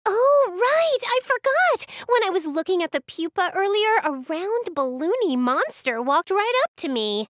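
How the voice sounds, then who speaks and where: Young bug-loving girl for a video game: very high, animated, carefree and giggly storyteller. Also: measured pace, few pauses, no echo, studio quality, heavily acted. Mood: amused. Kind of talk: English game voice acting.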